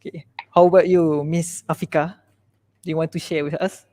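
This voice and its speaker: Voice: mid-range voice, level tone, fairly quick, dark tone, no echo. A moderator politely inviting panelists to speak, hesitant and full of fillers, over a video call.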